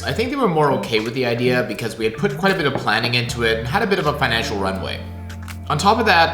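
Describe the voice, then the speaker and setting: A young man who grew up in Canada, co-hosting a casual Q&A vlog in a measured, explanatory way. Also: medium pitch. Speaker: vlog co-host, former lawyer. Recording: echoey room with background music.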